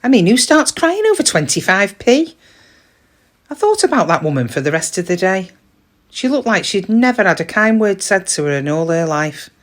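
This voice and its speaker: An actress playing a middle-aged British shop worker in a confiding, wry, conversational monologue, kind but quietly weary. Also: female voice, medium pitch, very animated, moderate pace, close to an everyday voice. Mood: troubled, reflective. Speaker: actor in a dramatic monologue.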